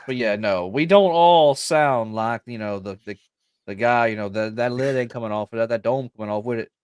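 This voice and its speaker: A man's voice: medium pitch, moderate pace, smooth, dry recording. A man from Louisiana with a Southern accent, co-hosting a livestream and teasing the others in casual chat. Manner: teasing, joking. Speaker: podcast co-host.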